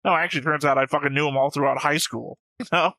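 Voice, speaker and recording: A man's voice: a little high, fairly even intonation, fast, pushed and bright, dry recording. A man hosting a reaction show, talking casually and argumentatively with frequent swearing. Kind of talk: casual discussion, reacting to an animated series.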